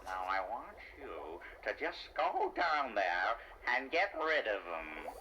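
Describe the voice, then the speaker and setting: A man voicing a scheming, hot-tempered little villain in a children's audio storybook, ranting in a shrill, whiny way. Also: sing-song, slow pace, pushed and bright, muffled recording, full character voice. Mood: exasperated, whining. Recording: noisy, distant old cassette transfer.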